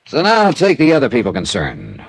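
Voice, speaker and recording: An actor playing a tough, wry insurance investigator in a classic radio drama, clipped and sardonic. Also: male voice, mid-range voice, wildly swooping pitch, moderate pace, a little hiss or hum, low-quality recording. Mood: brisk reasoning. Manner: clipped, sardonic, hard-boiled. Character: tough, wry freelance insurance investigator, narrating his case. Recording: vintage radio drama with music.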